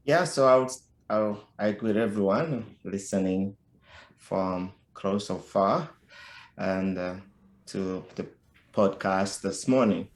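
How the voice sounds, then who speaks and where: A middle-aged man with a Haitian accent, telling his life story as a podcast guest in a slow, halting way with frequent restarts. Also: low-pitched, reverberant. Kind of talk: personal life story in conversation.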